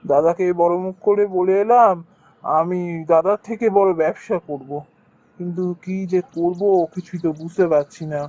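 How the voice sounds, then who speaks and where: A woman's voice: lowish voice, normal speed, mellow, no room sound, close to an everyday voice. A woman voicing a polite, hesitant young newlywed husband in a Bengali cartoon story. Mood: worried. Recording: dull cartoon audio with music.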